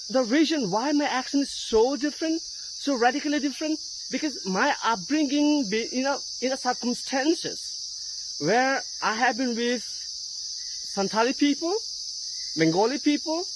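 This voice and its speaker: A woman from India with a mixed Indian and American accent, an English teacher talking earnestly to camera with halting restarts. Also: high voice. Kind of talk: casual talk to camera. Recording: loud insect and cricket noise behind. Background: loud crickets and insects.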